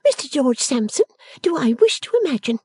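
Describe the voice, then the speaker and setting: A woman voicing a sulky, haughty young daughter with a high, disdainful tone. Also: big pitch swings, a little fast, a little mellow, no room sound.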